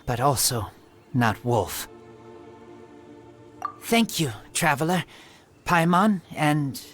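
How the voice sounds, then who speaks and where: A wild teenage boy raised by wolves, for a fantasy game. He speaks slowly in halting, broken phrases, earnest yet guarded. The voice sits a bit high for a male, with faint music behind. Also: sing-song, fairly bright tone, exaggerated voice. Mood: reserved, earnest. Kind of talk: video game voice acting.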